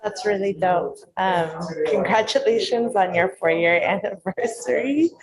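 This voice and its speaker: A young woman interviewing in a casual, reflective, affirming way, with slangy wording and pauses mid-thought. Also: medium pitch, a crowd in the background, poor audio. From San Francisco Bay Area, United States.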